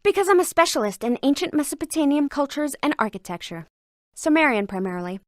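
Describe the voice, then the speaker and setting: A brisk, learned female curator from a video game. Her voice sits fairly high and is recorded very dry. She speaks articulately, with a scholar's precision and a sardonic edge. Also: expressive, no echo. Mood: matter-of-fact. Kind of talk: scripted video game dialogue.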